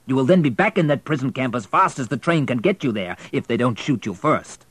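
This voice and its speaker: A small, bald station master, cold and sneering, barking orders, as a man reading a storybook does him. His voice sits higher and louder than the reader's own, with wide swings of pitch. The sound is dry and close. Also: animated, a little fast, slightly rough, dry recording. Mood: stern. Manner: commanding, sneering.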